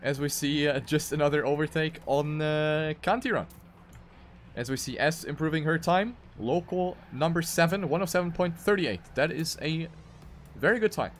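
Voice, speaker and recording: A man's voice: on the high side, normal speed, faint music behind. A man doing live esports commentary on a racing game, with dramatic, emphatic phrasing.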